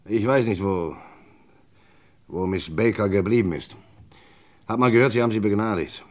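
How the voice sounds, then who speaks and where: Radio crime drama: guarded, businesslike gentleman male actor, low register, brusque and brisk in manner. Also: close to an everyday voice. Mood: brusque, busy. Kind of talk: German radio crime play acting.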